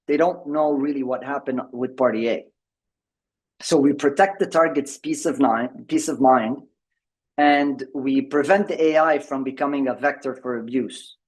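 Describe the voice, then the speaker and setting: A man's voice: medium pitch, a little mellow. A man giving a tech presentation at a members' meeting over a video call. He talks with many fillers and restarts. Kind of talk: conference presentation.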